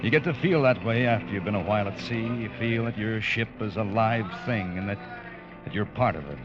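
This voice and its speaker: An actor playing a reserved first officer of a ship who narrates a 1940s radio play, formal and terse in dialogue. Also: male voice, fairly low pitch, fairly quick, natural voice. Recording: lo-fi vintage radio broadcast with music.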